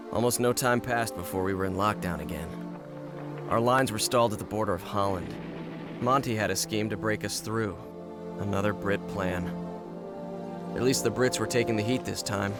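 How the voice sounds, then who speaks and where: A man's voice: on the low side, close to an everyday voice. An actor playing a wry, plainspoken American paratrooper who narrates his war in a reflective, matter-of-fact way. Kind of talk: scripted first-person war narration.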